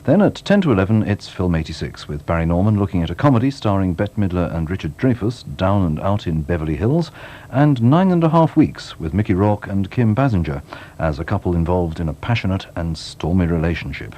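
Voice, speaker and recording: A British man working as a television continuity announcer, reading scripted programme and weather announcements in a measured, courteous, gently wry way. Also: deep voice, expressive. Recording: 1980s television broadcast off videotape.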